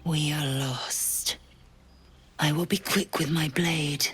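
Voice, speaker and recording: A fierce alien warrior woman with a deep voice and harsh, clipped words that carry a threat. She delivers her lines slowly over soft game music. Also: steady tone, breathy edge, heavily acted. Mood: grim. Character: fierce, distrustful female warrior from an alien race. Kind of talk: video game voice acting.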